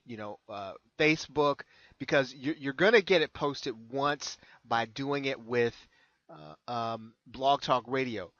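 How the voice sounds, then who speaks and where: An American man giving an unscripted screen-share tutorial as a consultant, halting and often repeating his phrases. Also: mid-range voice, fairly bright tone, no room sound. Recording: dull lo-fi close recording.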